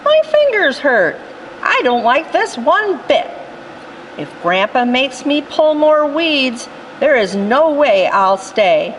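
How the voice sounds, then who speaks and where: A woman's voice: sits high, very animated, takes it slowly, highs cut off, a little hiss or hum, exaggerated voice. A woman voicing a sulky little girl who is tired and complaining. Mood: whiny.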